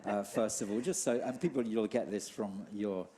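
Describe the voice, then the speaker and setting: A middle-aged man leading an interview in church, talking haltingly with many restarts. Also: on the low side, warm tone. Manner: hesitant, many restarts and fillers. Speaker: church leader hosting an interview. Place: church hall.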